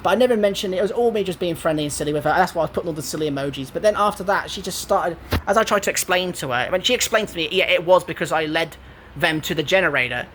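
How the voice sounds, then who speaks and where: A young man in his twenties with an English accent talks with a high, squeaky voice. He sounds aggrieved and rambles as he retells an online argument. A faint hiss runs underneath. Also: rapid-fire, a bit bright. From England. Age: 20s. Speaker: gaming vlogger. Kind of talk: casual commentary.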